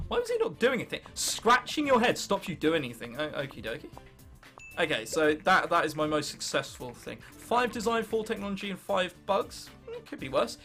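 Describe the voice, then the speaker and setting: Bright, high-pitched young English gamer. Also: male voice, sing-song, fairly quick, soft background music. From England. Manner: jokey, sweary, self-interrupting. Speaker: gaming video host. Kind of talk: casual let's play commentary, reading game text aloud.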